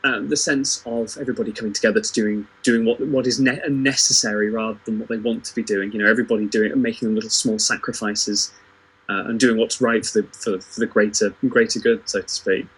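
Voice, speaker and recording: A man's voice: lowish voice, steady tone, brisk, a bit bright, breathy edge, poor audio. A man in a casual, unscripted panel discussion, speaking in a hesitant, self-correcting, conversational way. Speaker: theatre company performer on a livestream panel.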